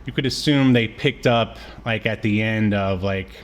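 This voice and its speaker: A man's voice: on the low side, normal speed. A man co-hosting a podcast, chatting casually in a rambling, conversational way. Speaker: podcast co-host. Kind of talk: casual chat about cartoons.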